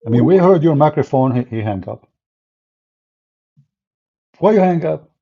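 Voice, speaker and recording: A man with an Arabic accent whose first language is Arabic, hosting a casual live stream in a sarcastic, mocking way and spinning deadpan tall tales. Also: a little high, unhurried, creaky, muffled recording. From Middle East.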